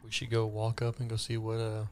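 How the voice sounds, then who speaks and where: A man's voice: lowish voice, completely flat delivery, brisk, a little hiss or hum. A man speaking casually as a player in a tabletop role-playing game.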